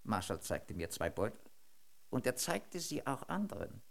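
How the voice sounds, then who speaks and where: A man's voice: medium pitch, sing-song, moderate pace, creaky. An actor in a German radio drama playing a knowing, deferential carpenter who answers his boss in a terse, guarded way.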